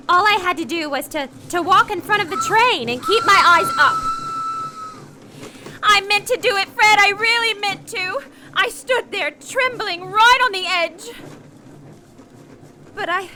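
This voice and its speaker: A woman's voice: very high voice, background noise. A woman playing a weary, heartbroken married woman parting from her lover, hesitant and anguished, in a radio drama. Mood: desperate. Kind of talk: radio play acting with confessional narration.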